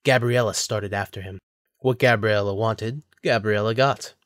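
A man's voice: lowish voice, steady tone, normal speed, fairly bright tone, no room sound. Dry-sounding male story narrator.

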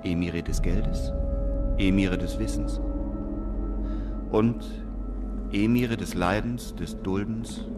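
Earnest adventurer-narrator, music behind. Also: male voice, deep voice, slow pace, pauses often. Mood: quiet, humble. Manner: earnest, literary. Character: earnest, pious lone German traveller-adventurer narrating. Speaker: narrator and lead character. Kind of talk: scripted radio drama narration.

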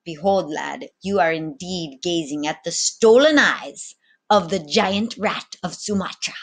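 A worldly, commanding sea captain from a storybook, acted out by a woman reading aloud. He speaks slowly and theatrically, with a playful slyness and little questioning tags at the ends of his lines. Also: lowish voice, slightly rough. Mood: gloating. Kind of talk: storybook read-aloud dialogue.

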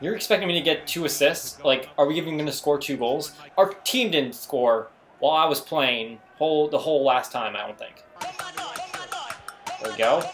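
Guy hyping himself up over a video game, slangy commentary, music playing behind him. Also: a little high. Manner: exclamatory, self-hyping, slangy. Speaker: gaming video host. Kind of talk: excitable gameplay commentary.

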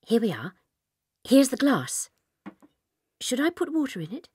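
An actress playing a gentle, kindly young schoolteacher with an English accent in a dramatized children's audiobook. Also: female voice, high-pitched, sing-song, unhurried, husky, exaggerated voice. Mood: kindly, encouraging.

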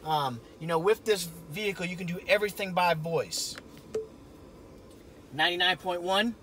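A high-pitched middle-aged man talks in a casual, folksy way, as if showing a vehicle to viewers. He is recorded on a camera inside a truck cab, with faint noise behind him. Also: moderate pace, bright, forceful tone. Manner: folksy, informal. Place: inside a pickup truck cab in a parking lot. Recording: camera audio with cabin noise.

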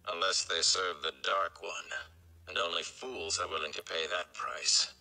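A man's voice: low voice, steady tone, unhurried, vocal fry, sharp tone, full character voice, poor audio. A man voicing a grim, protective warrior bodyguard in a storybook reading, blunt and scornful.

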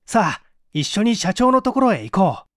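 Man playing a brash, animated male inventor. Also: high voice, dry recording, clean studio sound. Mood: urgent. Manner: brusque, hurried, assured. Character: brash, confident, impatient male inventor friend.

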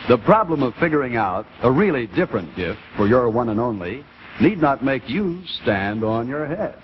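A middle-aged American man giving a polished, deliberate scripted sales pitch as a 1950s television announcer. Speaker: commercial announcer. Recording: muffled 1950s television broadcast with faint noise.

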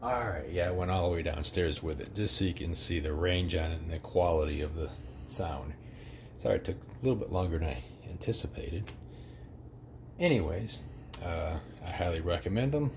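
A man's voice: deep voice, normal speed, a little mellow, slight background noise. A middle-aged man giving a casual, rambling product review at home, with plenty of "uh"s. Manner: easygoing, rambling with many "uh"s. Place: indoors at home.

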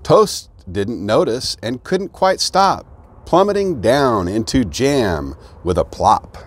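A middle-aged American man reading a children's rhyming storybook aloud in an expressive storytelling manner. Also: medium pitch, slow pace. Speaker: fire chief, guest reader. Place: outdoors beside an open fire truck.

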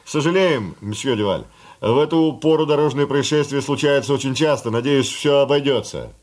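An actor in a radio play playing a talkative official who breaks bad news matter-of-factly, with hesitant asides. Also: male voice, medium pitch, normal speed, a little mellow, lightly acted. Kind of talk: radio drama acting.

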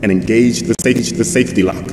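A man's voice: lowish voice, fast, noisy background, lightly acted. A man playing a weary, grave middle-aged captive official in a video game drama, explaining things earnestly and urgently. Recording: echoey reverberant room under loud music. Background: loud game music.

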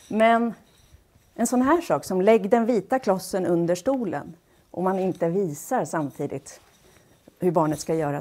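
A woman giving an explanatory public lecture in a measured, pausing and somewhat hesitant way. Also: fairly low pitch, dark tone. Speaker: lecturer, speech-language pathologist. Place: wood-panelled lecture hall, indoors. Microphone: headset.

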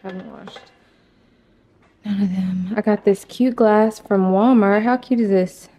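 A woman's voice: medium pitch, fairly even intonation, unhurried, warm tone. A young woman in her twenties chatting casually and playfully in her apartment kitchen as she films a vlog on a handheld phone. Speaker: vlogger.

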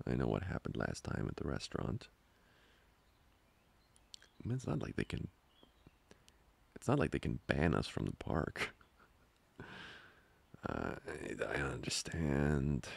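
A man playing a jealous, protective young boyfriend in an improvised ASMR roleplay, grumbling with halting, sarcastic asides. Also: very animated, full character voice. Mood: grudging, resigned. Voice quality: very deep, gravelly, husky.

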